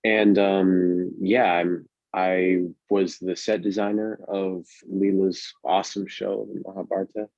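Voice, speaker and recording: A young man in his late teens, a student set designer, talking hesitantly with many pauses and restarts. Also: flat delivery, vocal fry, a little mellow, dry recording. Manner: hesitant, many ums and restarts, laughs lightly. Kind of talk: casual discussion on a video call. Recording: dry, muffled low-fi mic.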